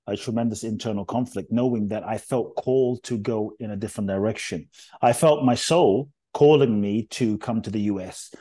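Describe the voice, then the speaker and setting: Inspirational speaker, male, middle-aged: warm dark tone, reflective storytelling that leans hard on key phrases. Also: medium pitch. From Ghana, raised in London. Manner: earnest, measured, builds emphasis on key phrases.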